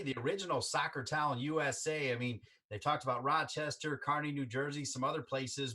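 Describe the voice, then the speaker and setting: A man's voice: fairly high pitch, sharp tone, breathy edge, poor audio. A man hosting a casual sports interview, chatty and upbeat as he asks questions. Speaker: interview host.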